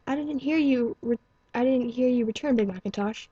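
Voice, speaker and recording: Stern old pony doc, done by a woman, measured and cool, dry flat sound. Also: on the high side, husky, no echo, lo-fi sound, pitched above the performer's own voice. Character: older male pony doctor, taciturn and protective.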